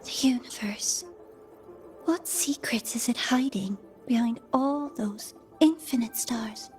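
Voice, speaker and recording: A woman voicing a curious, dreamy young scholar in a game, speaking in a soft, wistful whisper. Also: on the high side, slow pace, faint music behind. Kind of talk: game cutscene voice acting.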